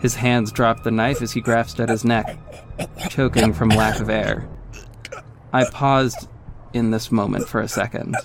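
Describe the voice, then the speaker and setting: A man's voice: mid-range voice, little pitch movement, unhurried, creaky, pauses often, over music. A man playing a confident young vigilante who narrates his own exploits in a wry, smug way in an audio drama. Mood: focused, descriptive. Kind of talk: scripted first-person audio drama narration.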